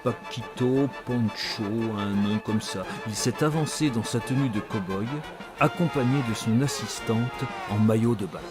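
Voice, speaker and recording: An actor playing an old man telling his own tale in an expressive, savouring way, as in an audio drama. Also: fairly low pitch, unhurried, loud music behind the voice, lightly acted. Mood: wry recollection. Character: wistful old one-eared Norwegian tavern storyteller, male. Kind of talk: dramatic first-person storytelling in audio drama.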